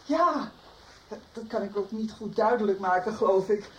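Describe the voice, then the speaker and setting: A woman's voice: medium pitch, normal speed, a little hiss or hum, lightly acted, low-quality recording. An actress playing a chatty, welcoming middle-aged Dutch woman in a radio soap.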